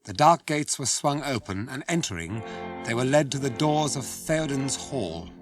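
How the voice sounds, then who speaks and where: A man's voice: mid-range voice, expressive, normal speed, over loud music. A British man delivering scripted dramatic narration for a fantasy radio play.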